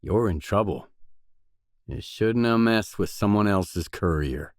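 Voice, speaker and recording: A determined male survivor in a video game, deep and slow, matter of fact, guarded, dry studio sound. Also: animated, no room sound. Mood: firm, threatening. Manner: terse, probing, matter-of-fact. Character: guarded, determined male stalker mercenary in post-apocalyptic zone. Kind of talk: isolated video game dialogue lines.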